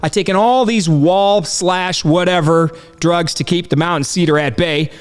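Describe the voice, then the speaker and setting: A man's voice: high-pitched, normal speed, clean tone, long reverb tail, faint music behind. A male podcast host with a stuffed-up nose, rambling in casual commentary and laughing as he talks. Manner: chatty, rambling, laughs while talking.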